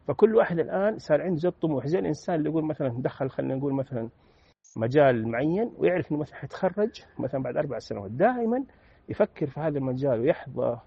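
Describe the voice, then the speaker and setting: A Saudi man with a Saudi accent gives an interview guest's commentary in a husky, gravelly voice. He talks very fast and stays earnest, with frequent drawn-out "aah" fillers between phrases. The audio sounds dull and lo-fi. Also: medium pitch, dry recording. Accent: Saudi Arabic. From Saudi Arabia.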